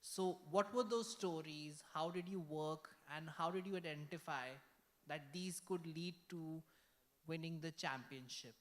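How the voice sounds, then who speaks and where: A woman's voice: sits low, measured pace. A woman in the audience, courteous and enthusiastic, greeting the speaker and asking a question.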